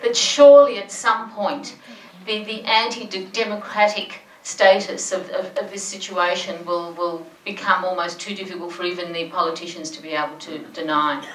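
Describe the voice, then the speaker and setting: A woman with a mid-pitched voice, speaking earnestly and persuasively with a few stumbles. She is heard from a distance in an echoey hall with faint noise. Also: steady tone, moderate pace, fairly bright tone. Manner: earnest and organizing, with some restarts. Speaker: audience member. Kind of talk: comment from the floor.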